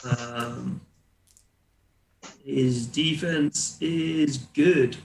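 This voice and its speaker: A man's voice: mid-range voice, extremely slow, creaky. A man running a tabletop roleplaying game as game master, narrating hesitantly and repeating words as he improvises. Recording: echoey room.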